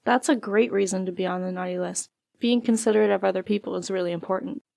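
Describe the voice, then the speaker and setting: A woman from a library podcast asking questions in a bright, encouraging interview style. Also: medium pitch, fast, creaky, no echo, studio quality.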